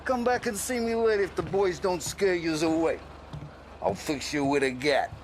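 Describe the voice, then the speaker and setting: An actor playing a boisterous male weapons supplier for a gang, loud and laughing in a video game. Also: on the high side, moderate pace, slight background noise, lightly acted. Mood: jovial. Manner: boisterous, laughs often, slangy.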